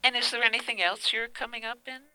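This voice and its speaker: Female radio host, very bright and crisp, short prompts. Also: fairly high pitch, fairly even intonation, fast talker, no echo, low-quality recording. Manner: brief prompts and encouraging murmurs. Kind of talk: radio interview.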